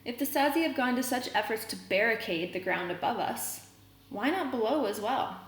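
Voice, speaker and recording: Woman acting storybook brother, young, determined, decisive, creaky, echoey room with noise. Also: a little high, animated, normal speed, faint music behind, pitched above the performer's own voice. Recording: roomy echo, noticeable background noise.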